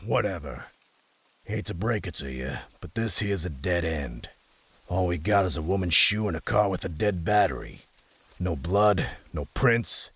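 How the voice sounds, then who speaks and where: Man playing husky, jaded old-school cop. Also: low-pitched, a bit bright, dry recording, full character voice. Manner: folksy, grumbling, dismissive. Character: gruff, jaded, food-loving male police detective. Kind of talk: audio drama acting.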